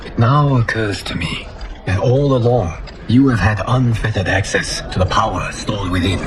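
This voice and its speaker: An actor playing a noble, burdened god and protector in a video game, speaking gravely and formally. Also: male voice, fairly low pitch, moderate pace, a little hiss or hum, natural voice. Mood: earnest, grateful. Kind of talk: video game cinematic acting. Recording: music behind the voice. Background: music.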